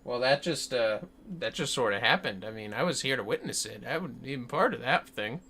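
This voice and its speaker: A man's voice: medium pitch, animated, fairly quick, bright, forceful tone, breathy edge. A man chatting casually and a bit ramblingly as he livestreams a game, swearing freely. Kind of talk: casual chat while gaming.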